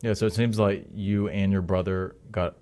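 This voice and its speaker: A man's voice: sits low, steady tone, moderate pace, warm tone. A man hosting a talk show, speaking in an upbeat, friendly and eager way as he interviews a guest. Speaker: host.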